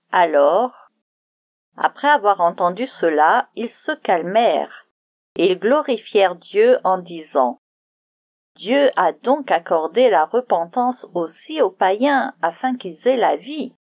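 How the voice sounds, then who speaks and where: A woman's voice: mid-range voice, animated, slow pace, no echo, dull audio. A woman telling and explaining Bible stories to children in a simple, explanatory way, as if speaking to one child. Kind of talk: narrated children's Bible lesson with prayer.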